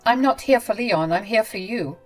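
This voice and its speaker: A spoiled, self-absorbed bride-to-be , as a woman narrator puts her on. She whines and brushes things off with little care. Soft music drifts under the reading. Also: medium pitch, expressive, moderate pace, pitched above the performer's own voice, close to an everyday voice, poor audio. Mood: whiny. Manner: whiny, dismissive.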